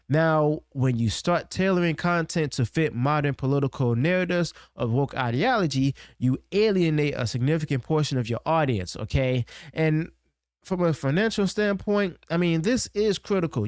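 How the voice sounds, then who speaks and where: Guy doing an opinion rant, kinda high voice, super dry sound, keeps saying okay. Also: darkish tone, dry recording. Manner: emphatic, keeps tagging points with "okay?" and "now"; loose and unscripted, with restarts. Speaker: online commentary host. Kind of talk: opinionated culture commentary.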